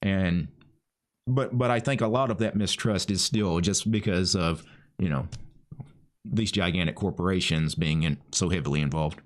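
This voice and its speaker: A man hosting a casual video chat, talking in a measured, explanatory way. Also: sits low.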